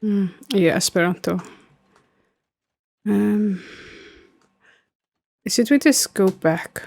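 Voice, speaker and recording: Gravelly Icelandic woman streaming a game. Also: mid-range voice, very slow, a little mellow. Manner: rambling, many fillers, answering chat. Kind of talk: casual gaming stream chat.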